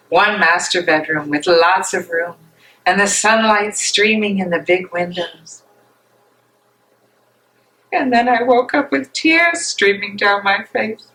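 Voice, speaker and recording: A woman's voice: mid-range voice, a bit bright, rough recording. A woman playing a tender, grieving fiancée in a dramatic stage reading, earnest and pleading as she longs for her beloved.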